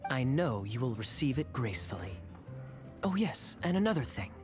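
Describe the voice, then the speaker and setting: A man's voice: medium pitch, big pitch swings, normal speed, music in the background, lightly acted. Man playing a sly, lilting young knight captain. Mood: playful, genial. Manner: smooth-talking, sly, theatrical. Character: suave, teasing young male knight captain. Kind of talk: video game voice acting.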